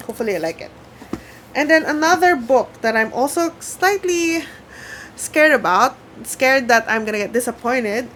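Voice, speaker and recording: A young woman from the Philippines, a Tagalog speaker talking casually to camera at home by her bookshelves, chatty and excitable. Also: on the high side, slight background noise. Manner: chatty, excitable, self-mocking. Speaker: book vlogger.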